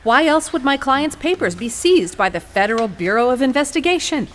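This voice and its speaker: A woman playing a determined attorney in an audio courtroom drama, speaking formally and deliberately. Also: high-pitched, sing-song. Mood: indignant. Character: poised, determined female attorney arguing in court.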